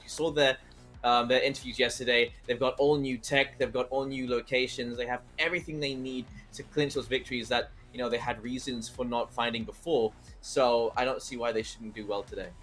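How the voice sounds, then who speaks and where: A man analyzing an esports match with a steady, mid-pitched voice. He speaks confidently and in flowing sentences, over faint background music.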